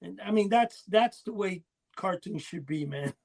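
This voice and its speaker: A man's voice: high-pitched, lively intonation, normal speed, creaky. A man hosting a casual podcast chat, telling rambling, enthusiastic stories. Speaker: podcast host.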